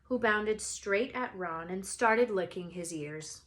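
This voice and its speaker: A woman's voice: medium pitch, slow, background noise. A woman narrating a children's book as a read-aloud storyteller. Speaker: storybook reader.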